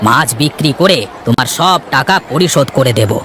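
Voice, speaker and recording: Man acting an eager village schemer, highish voice. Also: expressive, brisk, noisy background, natural voice. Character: eager, gullible younger brother, a jealous villager. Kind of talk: Bangla cartoon voice acting.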